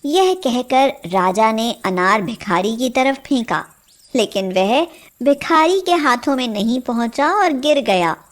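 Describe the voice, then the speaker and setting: A woman's voice: a little high, lively intonation, unhurried. A woman reading scripted children's story narration.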